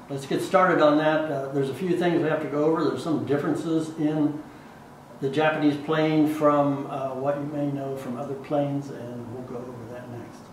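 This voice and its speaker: A man's voice: medium pitch, slight background noise, poor audio. Plainspoken man teaching in a woodshop. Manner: unhurried, plainspoken, many fillers. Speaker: woodworking instructor. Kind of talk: hands-on workshop tutorial.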